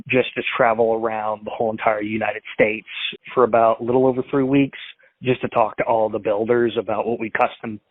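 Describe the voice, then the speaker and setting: A man's voice: lowish voice, no room sound. Male prank caller; rambling, restart-heavy delivery; phone-line audio. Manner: rambling, full of fillers and restarts. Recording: tinny dry phone line.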